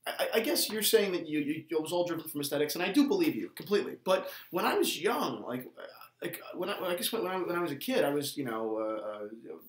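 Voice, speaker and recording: A man's voice: fairly high pitch, very animated, brisk. A man in his twenties with an American accent chatting casually as a show host, often repeating words as he goes. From New Jersey, United States. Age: 20s. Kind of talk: casual chat. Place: small indoor room, seated at a table. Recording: roomy indoor echo.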